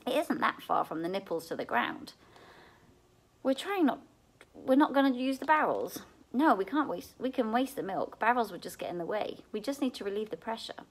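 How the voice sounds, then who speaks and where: A practical, bossy young girl in a children's storybook, played by a woman reading aloud. Her voice is raised in pitch and urgent. She instructs firmly and sounds determined. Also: very animated, normal speed, rough recording. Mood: reasoning.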